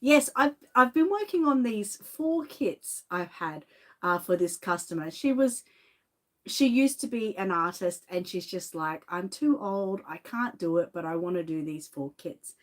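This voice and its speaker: A woman's voice: mid-range voice, expressive, unhurried, fairly bright tone. An Australian woman with a lingering cough chatting casually on a livestream, greeting viewers by name from her home studio. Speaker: reborn doll artist and shop owner.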